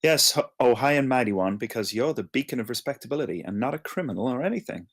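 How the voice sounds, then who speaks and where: A wry young man voiced by an actor at a table read teasing his best friend. He speaks very quickly in a medium-pitched voice, easygoing and full of banter. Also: expressive. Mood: wry exasperation. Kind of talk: live screenplay table read.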